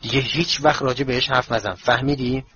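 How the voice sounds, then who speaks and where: A man's voice: medium pitch, fairly even intonation, sharp tone, dull audio, a little hiss or hum, close to an everyday voice. A man voicing a defiant, sarcastic teenage boy wizard. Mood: threatening. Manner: mocking, sarcastic.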